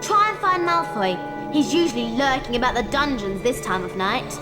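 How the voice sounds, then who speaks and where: Clever British schoolgirl witch played by a woman, very high, animated, bossy and earnest, game cutscene over loud music. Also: natural voice. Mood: concerned. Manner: brisk, explanatory. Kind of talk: video game cutscene acting.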